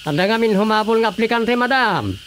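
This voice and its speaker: An actress playing a polite, watchful village councillor with a Filipino accent in a radio drama. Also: female voice, fairly high pitch, animated, brisk, a little hiss or hum, lightly acted. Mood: firm.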